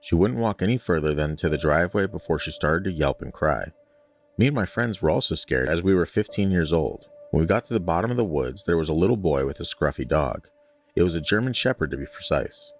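A man with an extremely deep voice hosts a podcast. He talks and reads stories aloud in an informal, conversational way, with room for joking asides. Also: animated, a little fast, faint music behind. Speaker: podcast host. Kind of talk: casual chat and reading listener stories aloud.

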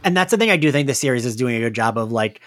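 A man's voice: mid-range voice, expressive, very fast, fairly bright tone. A man co-hosting a podcast, chatting informally as he recaps a story. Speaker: podcast host. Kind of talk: casual recap chat.

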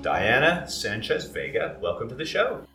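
A man's voice: mid-range voice, sing-song, normal speed, music in the background. A man hosting a podcast in a conversational, folksy way, introducing topics and talking with guests. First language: English. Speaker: podcast host. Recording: roomy echo with background noise.

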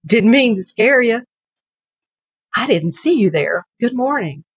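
A woman's voice: fairly high pitch, lively intonation, unhurried, breathy edge, dry recording, muffled recording, pitched above the performer's own voice, full character voice. A woman voicing a kindly, folksy old henkeeper with rural turns of phrase in a short story reading. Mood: friendly, wry.

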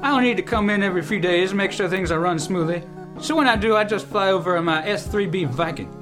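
A man acting a pompous, folksy older general who runs a pizza place, halting and self-important, in a comic radio play. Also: sits high, lightly acted. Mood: confiding, solemn. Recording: music under the dialogue.

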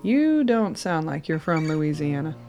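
A woman's voice: deep voice, sing-song, a little slow, dark tone, soft background music, full character voice. Actress as direct, uncertain woman traveler. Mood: curious, uneasy. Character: inquisitive, uncertain woman traveler in post-war wilds. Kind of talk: radio drama acting.

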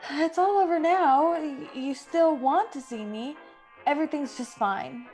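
A woman's voice: high voice, a little slow, darkish tone, soft background music, rough recording. An actress playing an eager, frank eighteen-year-old college girl in a classic radio play, earnest and girlish. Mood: giddy.

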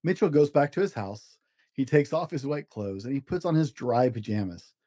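A man reads a children's storybook aloud as the host of a read-along. His voice is relaxed and mellow, with animated rises and falls. The sound is dry, and the delivery is simple and expressive for young listeners. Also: medium pitch, normal speed, no room sound.

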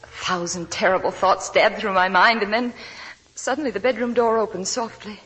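An actress playing an anxious, devoted young wife in 1940s radio drama, earnest and pleading, on a vintage broadcast recording. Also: female voice, medium pitch, steady tone, moderate pace, a bit bright, breathy edge, rough recording. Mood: troubled recollection.

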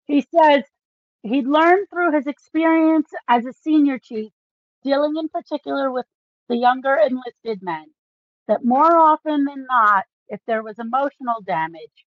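A woman's voice: high voice, measured pace, no room sound. A woman co-hosting a podcast in a casual book discussion, explaining things like an enthusiastic fan. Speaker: podcast co-host. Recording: slightly dull, dry recording.

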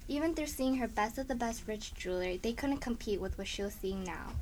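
A woman's voice: a little high, expressive, a little fast, darkish tone. A woman reading scripted narration for a children's story as the narrator. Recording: faint background noise.

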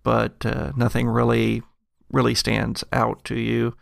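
A man narrating an improvised tabletop game as game master, halting often to find his words. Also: medium pitch, completely flat delivery, creaky, no echo, clean studio sound.

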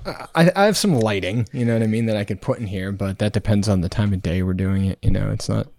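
A man's voice: lowish voice, fast, vocal fry, a little mellow. A young man hosting a podcast, explaining things at length in casual chat. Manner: explaining, rambling. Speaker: podcast host. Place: podcast room.

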